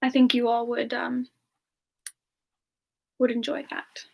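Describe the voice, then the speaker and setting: A woman voicing a gentle, affectionate female frost demon in a semi-ASMR fan Q&A, soft-spoken and hesitant, drifting toward whispers. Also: on the high side, completely flat delivery, slow pace, gravelly, exaggerated voice. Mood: fond, amused. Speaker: ASMR character performer answering viewer questions. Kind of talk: semi-ASMR in-character livestream Q&A.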